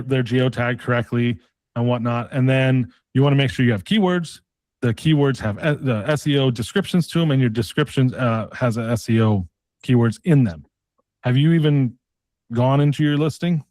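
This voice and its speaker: A man's voice: mid-range voice, normal speed, a little mellow, no echo, low-quality recording. Persistent male sales coach, dry close sound. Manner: pushy, persistent, uses tag questions; casual, colloquial phrasing. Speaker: sales coach demonstrating a mock pitch. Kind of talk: sales call role-play pitch.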